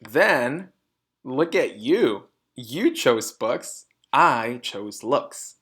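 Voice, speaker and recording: A man's voice: mid-range voice, big pitch swings, extremely slow, fairly bright tone, full character voice. A man voicing a vain, smug, scornful mother in a storybook, with brash and emphatic phrasing.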